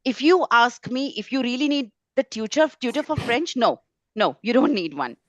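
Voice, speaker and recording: A woman's voice: on the high side, lively intonation, normal speed, no echo. Emphatic woman teaching, dull audio. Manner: emphatic, repeats words and phrases for stress. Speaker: French tutor hosting a webinar. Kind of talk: informal online webinar talk to students. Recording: slightly dull, lo-fi webinar audio.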